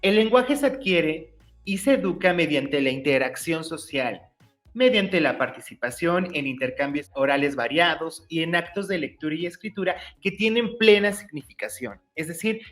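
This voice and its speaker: A man, hosting his own channel and clearly explaining teacher-exam study material in an upbeat, explanatory way. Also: a little high, animated, moderate pace, fairly bright tone, faint music behind. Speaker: YouTube channel host, teacher-exam tutor. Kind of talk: educational explainer reading curriculum text.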